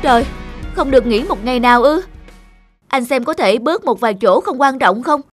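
A man's voice: very high-pitched, expressive, normal speed, over music. A man voicing a weary, longing young singer in a cartoon, with a plaintive, theatrical delivery. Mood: weary, complaining. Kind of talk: cartoon voice acting.

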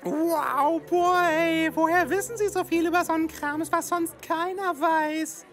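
A woman's voice: very high voice, steady tone, a little slow, soft background music. A woman playing a flirtatious, playful glamour blonde in a comic audiobook, with a teasing manner. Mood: impressed.

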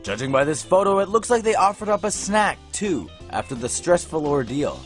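A man's voice: fairly high pitch, animated, moderate pace, faint music behind. A man reading scripted narration for light news stories in a playful, storytelling way.

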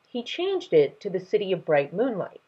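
Woman doing a regal kindly storybook king, measured but animated, husky, dull webcam audio. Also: mid-range voice. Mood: explaining. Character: kindly, regal male king telling family history.

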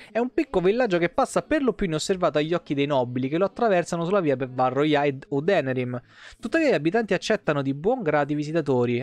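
A man, livestreaming as he reads game lore aloud expressively, with offhand asides and self-corrections. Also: on the high side. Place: indoor room, webcam livestream. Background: soft guitar game music.

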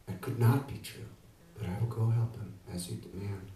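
A man's voice: lowish voice, fairly even intonation, normal speed, mellow, slight background noise, pitched below the performer's own voice, natural voice. A man voicing a dutiful young warrior brother who speaks earnestly and firmly. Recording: echoey room, distant mic.

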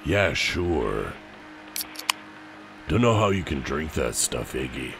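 A man playing a gruff, teasing young bodyguard in a fan audio drama, with a gravelly voice and dry manner. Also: extremely low pitch, big pitch swings, takes it slowly, noisy background, heavily acted.

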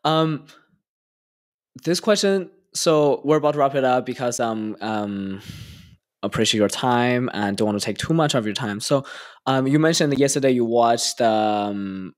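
A man's voice: medium pitch, a little slow. Young male host, eager, many affirmations, podcast mic on a video call. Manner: eager, frequent affirmations, rambling questions. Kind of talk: casual interview conversation. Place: home room, indoors. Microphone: podcast microphone on video call.

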